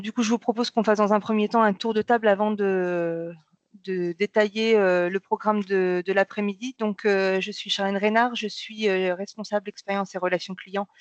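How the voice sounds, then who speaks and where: A woman's voice: mid-range voice, no echo. A woman opening a training webinar as a client relations manager, speaking hesitantly with many fillers over a video call. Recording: dull lo-fi video call audio.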